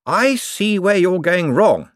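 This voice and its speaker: A man reading an audiobook, voicing a nervous, evasive male wizard bureaucrat who talks in a flustered, hurried way. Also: high voice, sing-song, no echo, studio quality, voice pushed up, heavily acted. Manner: flustered, hurried, over-explaining. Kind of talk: audiobook character voice.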